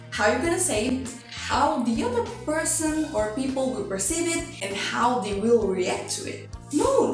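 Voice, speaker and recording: A woman's voice: high voice, recorded from a distance. A young woman from Brazil with a Brazilian Portuguese accent, talking in a chatty, self-deprecating way to her own camera. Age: young adult. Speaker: vlogger. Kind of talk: candid personal vlog monologue. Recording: echoey room, off-mic, music under.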